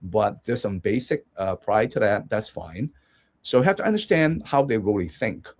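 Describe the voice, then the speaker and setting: Husky-voiced man from Hong Kong. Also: fairly low pitch, lively intonation, normal speed, darkish tone. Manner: rambling, many restarts and fillers. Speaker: guest. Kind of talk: casual discussion.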